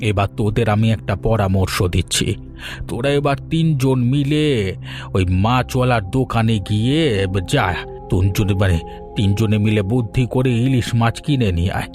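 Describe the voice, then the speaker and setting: A man's voice: on the low side, normal speed, darkish tone, natural voice. A man voicing a middle-aged village father in a cartoon fairy tale, chiding and goading his daughters in a lecturing manner. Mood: urging. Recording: music under the voice.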